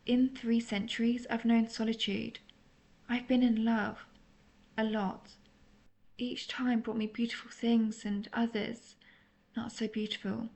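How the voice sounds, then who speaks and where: A woman voicing a tender, melancholy centuries-old vampire lover in an intimate audio roleplay, speaking softly and confessionally, at times nearly whispering. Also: on the high side, level tone, measured pace, gravelly. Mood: melancholy, lonely. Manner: soft, confessional, partly whispered.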